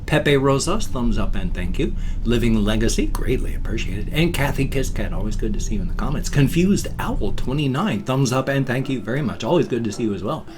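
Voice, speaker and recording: A man's voice: medium pitch, lively intonation. Chatty american guy in his 50s, laid-back vlog talk, music playing behind him. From United States. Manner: chatty, drawls sign-offs slowly. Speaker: vlogger. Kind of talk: casual vlog chat.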